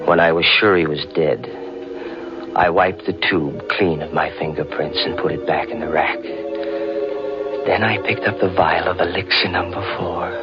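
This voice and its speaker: A man's voice: deep voice, fairly even intonation, moderate pace, over music. A man acting a ruthless, obsessed killer, narrating with melodramatic intensity in a 1940s radio thriller. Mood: grim confession. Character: ruthless male murderer obsessed with immortality, narrating his crime. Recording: dull vintage radio broadcast recording.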